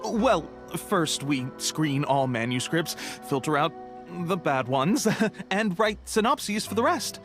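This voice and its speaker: Male shop clerk for an anime game: high, animated, helpful yet cautious, hesitant phrasing, music underneath. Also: exaggerated voice. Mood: friendly, gossipy. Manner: hesitant, conversational, deferential, many hums and pauses. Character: chatty, polite male bookshop clerk, helpful but cautious. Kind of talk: English anime game voice acting.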